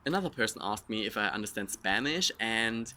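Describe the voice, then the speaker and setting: A young man in his twenties with a German accent chats candidly through a follower Q&A, joking at his own expense. His voice sits fairly low yet sounds bright and forceful. A faint hiss runs under the recording. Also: lively intonation, brisk. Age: 20s. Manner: frank, self-mocking, rambling with fillers. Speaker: vlogger answering follower questions. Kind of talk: casual Q&A monologue.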